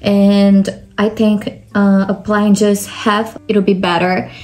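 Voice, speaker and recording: A woman's voice: medium pitch, little pitch movement, takes it slowly, darkish tone, rough recording. Brazilian woman explaining a makeup routine. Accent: Brazilian Portuguese. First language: Portuguese. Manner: step-by-step explaining, frequent "like" fillers. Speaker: makeup vlogger. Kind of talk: makeup tutorial talk.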